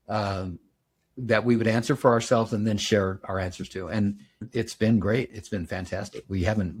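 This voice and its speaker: Host around seventy, low husky voice, measured and thoughtful. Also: male voice, a little mellow. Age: 70s. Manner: reflective, measured storytelling. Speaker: podcast host. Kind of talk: casual podcast conversation.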